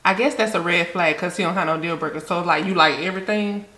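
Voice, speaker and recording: A woman's voice: lowish voice, quick pace, sharp tone, reverberant. A woman hosting a reaction video, chatting casually and talking straight to her viewers.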